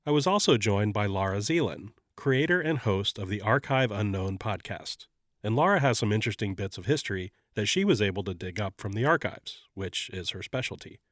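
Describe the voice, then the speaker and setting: A man hosting a podcast, sounding slightly nasal and congested while getting over an illness, narrating a scripted story in a measured way. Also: lowish voice, expressive, dry recording, studio quality. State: congested, recovering from covid. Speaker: podcast host.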